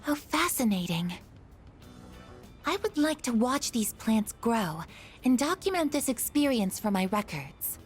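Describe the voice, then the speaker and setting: High, curious, formal android girl. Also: very animated, measured pace, soft background music. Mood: curious, earnest. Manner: precise, formal, wondering. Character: curious, earnest female android, gentle and literal-minded. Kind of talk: English video game dub acting.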